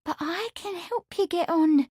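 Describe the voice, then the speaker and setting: A lovesick young housemaid, put on by a woman reading the story, pitched well above the narrator and full of tearful pleas and soft sighs. She is sweet on the surface yet quietly scheming. The sound is dry and close, with no room around it. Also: unhurried, dry recording. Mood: pleading. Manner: tearful, pleading, breathy sighs.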